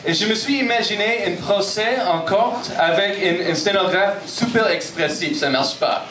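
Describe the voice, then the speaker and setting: Anglophone Montreal comic, high voice, roomy bar. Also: male voice, normal speed, far from the mic, noisy background. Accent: English-speaking Montreal. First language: English. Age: 30s. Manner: self-deprecating, punchy, frequent "ok?" check-ins. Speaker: stand-up comedian. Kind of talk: stand-up comedy set. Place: comedy bar stage.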